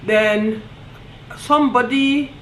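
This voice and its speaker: A woman with a Nigerian accent telling a story on her vlog in an animated way, often breaking into laughter. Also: on the high side, slow, slight background noise. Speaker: vlogger. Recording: roomy echo, faint ambience.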